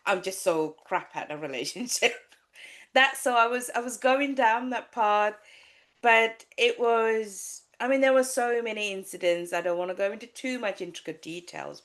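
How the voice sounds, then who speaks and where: A woman's voice: mid-range voice, a bit bright. A woman from Sri Lanka, a life coach, telling her personal story candidly in a podcast interview, with many restarts and fillers. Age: adult with a child.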